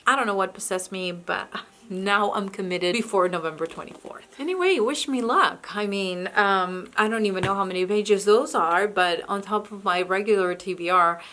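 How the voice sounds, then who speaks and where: A woman talks through her new books in a casual, chatty way. English is her second language, and she often restarts her sentences and hesitates. Her voice sits in a middle pitch range. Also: normal speed, a bit bright. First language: not English. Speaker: book vlogger. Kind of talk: casual book haul chat.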